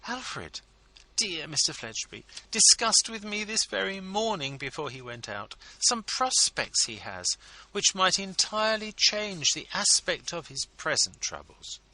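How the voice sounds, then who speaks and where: A man voicing a gushing, flattering scheming wife who pleads sweetly in a storybook reading. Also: sits high, measured pace, dry recording, voice pushed up, heavily acted, poor audio. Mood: gushing pleading.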